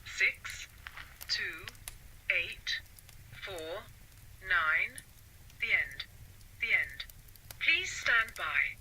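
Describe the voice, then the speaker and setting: A woman's voice: fairly low pitch, expressive, drawn-out words, long pauses, sharp tone, slight background noise, exaggerated voice, low-quality recording. A woman playing an impersonal, mysterious numbers-station announcer in an audio story, carefully enunciating each word over shortwave radio. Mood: impersonal, measured. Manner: carefully enunciated, clipped isolated digits. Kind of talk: coded shortwave radio broadcast.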